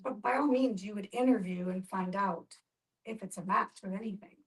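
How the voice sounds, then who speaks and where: A woman's voice: medium pitch, moderate pace. A woman explaining things informally as a participant in a group discussion. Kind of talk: casual explanatory group talk. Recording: distant microphone.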